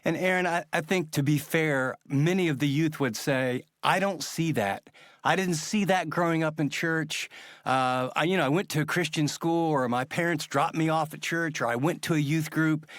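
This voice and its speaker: A man with a fairly high-set voice hosts a religious interview. He talks in an earnest, reflective, conversational way. The sound is dry, with very little room in it. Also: normal speed, fairly bright tone, no echo. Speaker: interview host.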